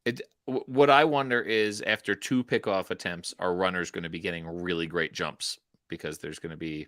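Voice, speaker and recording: Bright-voiced man bantering on a show. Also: deep voice, moderate pace, no echo, studio quality. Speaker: co-host of a baseball talk show. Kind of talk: casual chat.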